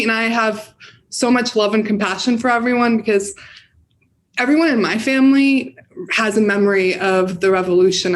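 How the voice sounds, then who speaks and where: A woman with an American accent giving earnest, reflective answers as an interview guest. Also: mid-range voice. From United States. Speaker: guest, campaign director and community organizer.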